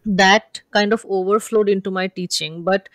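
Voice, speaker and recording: A woman's voice: medium pitch, steady tone, normal speed, no room sound. Indian woman podcast host, dry close sound. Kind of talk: casual conversation.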